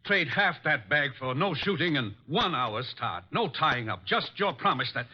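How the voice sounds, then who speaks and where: An actor playing a hard, desperate, cornered killer, terse and tense, in an old radio crime drama. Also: male voice, fairly high pitch, very animated, moderate pace. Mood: desperate bargaining. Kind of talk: 1940s-50s radio drama acting. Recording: dull, muffled old radio recording.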